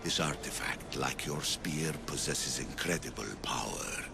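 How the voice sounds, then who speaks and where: Ancient scholar, extremely low voice, gravelly creak, solemn, measured, video game drama. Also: male voice, exaggerated voice. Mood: reverent. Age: older. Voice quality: very deep, gravelly. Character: ancient solemn male scholar, father obsessed with hidden knowledge. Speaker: video game character actor. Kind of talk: dramatic game cutscene acting. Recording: music behind the voice.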